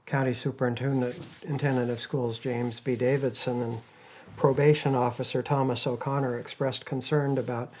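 A man's voice: mid-range voice, flat delivery, moderate pace, darkish tone, slight background noise, poor audio. A man talking casually about his research, in a conversational way with fillers and asides. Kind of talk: casual explanation.

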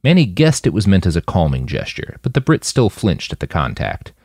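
A man narrates scripted fiction in a lowish voice. His pitch moves around a great deal, and the sound is dry and close, as in an audiobook. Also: huge pitch swings, a little fast, a little mellow, no echo. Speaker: author and narrator of a fiction podcast.

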